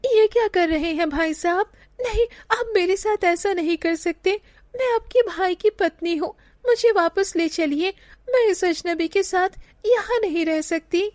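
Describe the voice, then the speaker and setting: A woman's voice: very high-pitched, unhurried, no room sound, voice pushed up. A woman voicing a meek, ailing young village wife in a cartoon story, with weak, pleading delivery. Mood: frightened pleading. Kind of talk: cartoon moral story acting.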